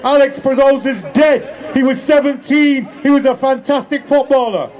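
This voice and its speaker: A man's voice: very high voice, normal speed. A man delivering a rousing protest speech at an outdoor rally, leading call-and-response chants. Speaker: rally speaker. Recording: crowd noise, open air.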